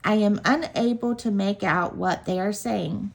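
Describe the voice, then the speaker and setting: A woman's voice: mid-range voice, measured pace, gravelly. A woman reading her own children's book aloud, expressively acting out the story as she goes. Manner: expressive, acts out dialogue.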